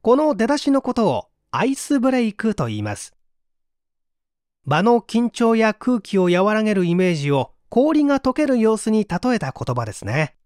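Lively, dry-sounding book narrator. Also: mid-range voice, normal speed, dry recording. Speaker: audiobook narrator. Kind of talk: scripted book read-aloud narration.

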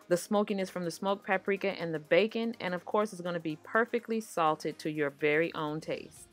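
Low-pitched American woman narrating a recipe. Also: normal speed. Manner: conversational, addresses viewers directly. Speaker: cooking show host. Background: background music.

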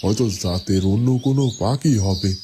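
A man's voice: on the low side, a little fast, lightly acted. An actor playing a simple, puzzled father in a fairy-tale cartoon, hesitantly musing aloud. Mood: dismissive musing. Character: puzzled, simple father, kindly and muttering to himself. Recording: clear background noise with birdsong.

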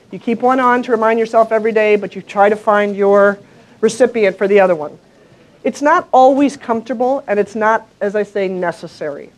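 A woman's voice: medium pitch, darkish tone. A middle-aged woman speaking plainly at a podium mic in a conference room, explaining a program to the audience. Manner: plain, conversational, with fillers.

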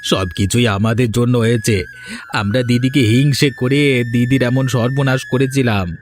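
A man's voice: mid-range voice, steady tone, moderate pace, natural voice. A man voicing a sick, guilt-burdened village husband in a cartoon, speaking in a despairing, dramatic way. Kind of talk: Bengali cartoon voice acting. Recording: faint music behind the voice.

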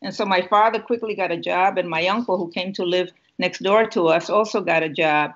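A woman's voice: fairly low pitch, brisk. A woman in her seventies from Puerto Rico with a Puerto Rican accent, an author talking unhurriedly about her life on a video call from home. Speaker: author and guest. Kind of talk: conversational interview and book reading. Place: home room with a lamp. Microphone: laptop webcam video call. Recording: muffled video call audio.

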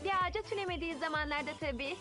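A woman's voice: sits high, very fast, sharp tone, faint music behind. A woman voicing a chatty, fussy young girl in a dramatic children's cartoon style. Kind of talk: Turkish-dubbed children's cartoon acting.

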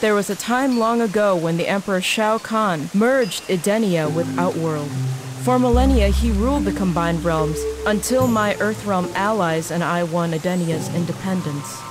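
A woman's voice: mid-range voice, moderate pace, natural voice. An actress playing a regal young female warrior princess in a video game cutscene, commanding and urgent. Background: music.

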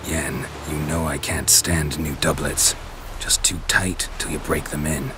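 An actor playing a gruff, unflappable monster hunter with dry wit in a fantasy video game. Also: male voice, rumbling low, fairly even intonation, measured pace, background noise. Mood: deadpan. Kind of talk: video game dialogue acting.

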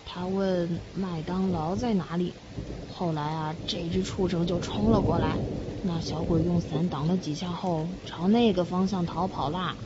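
A woman's voice: fairly low pitch, steady tone, moderate pace, lo-fi sound, noisy background, natural voice. A woman playing a chatty, helpful female ghost passer-by in an audio drama. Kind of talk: Chinese audio drama acting.

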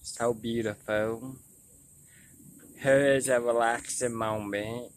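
A man's voice: medium pitch, steady tone, takes it slowly, a little hiss or hum. A man in his twenties chatting casually to viewers as he vlogs on a nature trail, recorded outdoors on a lapel mic. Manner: addresses viewers casually as y'all. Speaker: vlogger. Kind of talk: casual outdoor vlog chat. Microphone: small clip-on lapel mic.

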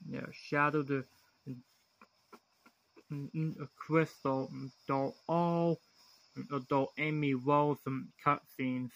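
A man gives a casual, unscripted showcase of a game in a monotone voice. He talks very slowly and hesitantly, breaking his sentences into small pieces with long gaps. It sounds like an amateur handheld recording. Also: on the high side, no echo, muffled recording. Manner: hesitant, fragmented, long pauses between phrases. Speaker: amateur video host showing a handheld game.

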